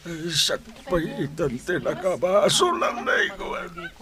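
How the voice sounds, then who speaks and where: Radio drama: short-tempered sick old father, querulous complainer, high-pitched, wildly varied melody. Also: wildly swooping pitch, slow, crowd noise, exaggerated voice. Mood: irritated. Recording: radio drama with music underneath.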